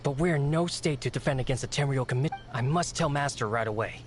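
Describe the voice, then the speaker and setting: A man's voice: medium pitch, fast talker, soft background music, natural voice. A man voicing an anxious, earnest servant of a difficult master in a video game. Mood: alarmed. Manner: worried, fretful. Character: anxious male retainer serving a volatile master, fretful and earnest.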